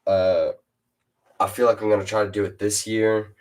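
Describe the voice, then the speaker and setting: A teenage boy with a super deep, low-pitched voice hosts a laid-back chat. He hesitates a lot, fills gaps with "uh," and restarts his sentences as he goes. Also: little pitch movement, normal speed, a little mellow. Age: teens. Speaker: host interviewing a fellow rapper. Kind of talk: casual chat.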